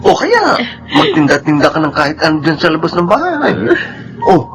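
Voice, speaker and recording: Animated, high-voiced Filipino man, encouraging. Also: normal speed, music in the background. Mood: earnest, encouraging. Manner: animated, emphatic advising. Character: chatty, encouraging, devout relative and parlor assistant. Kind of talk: Filipino radio drama acting.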